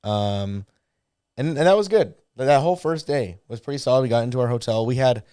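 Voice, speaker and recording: A man with a hoarse voice, hosting a casual podcast and telling stories in a rambling way, often stopping to correct himself. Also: medium pitch, expressive, fairly quick, warm tone, no room sound, clean studio sound. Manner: rambling, self-correcting storyteller. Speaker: podcast host, board shop owner.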